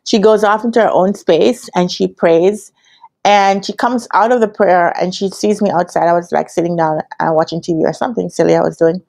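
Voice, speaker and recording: A woman in her thirties with a Jamaican accent, telling a personal story casually to camera in an animated, expressive way, acting out dialogue as she goes. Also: on the low side, brisk, creaky. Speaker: business analyst trainer telling her career story. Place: quiet indoor room with curtains. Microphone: lapel.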